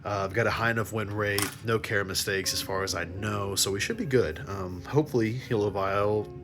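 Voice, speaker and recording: A man's voice: fairly low pitch, normal speed, music in the background. A man talking casually and enthusiastically to viewers like a geeky fan vlogger, chatting through handheld virtual pet games.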